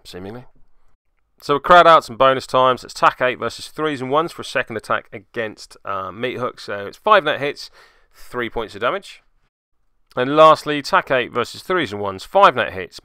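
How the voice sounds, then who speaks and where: A man's voice: mid-range voice, bright, forceful tone, clear, resonant tone, no room sound, clean studio sound. A man narrating a tabletop game play-by-play in voice-over, with wry, self-deprecating asides. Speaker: host, hobby gaming channel narrator.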